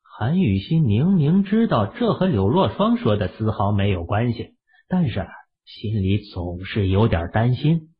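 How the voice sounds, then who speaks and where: A man with a raspy voice narrating an audio drama in a steady storytelling manner. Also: on the low side, unhurried, phone-quality sound.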